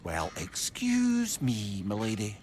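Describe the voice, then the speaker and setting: A man's voice: on the low side, wildly swooping pitch, slow, darkish tone, slight background noise, exaggerated voice. An actor playing a teasing, talkative male head in a game cutscene, sly and courtly. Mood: wry.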